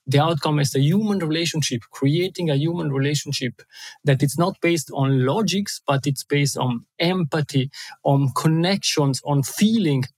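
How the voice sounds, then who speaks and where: Middle-aged Italian-accented guest, eager and animated, dry close sound. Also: male voice, fairly high pitch, moderate pace, no echo. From Italy and Switzerland. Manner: enthusiastic, repeats words, laughs often. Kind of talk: interview.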